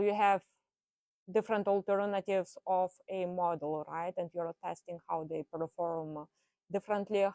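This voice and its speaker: A woman talks through her ideas on a panel, pausing on fillers and checking in with "right?" as she goes. Her voice sits mid-range, and the sound is dry and close. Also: mid-range voice, darkish tone, no echo, rough recording. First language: Russian. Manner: thoughtful, frequent "right?" tags and fillers. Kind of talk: panel discussion.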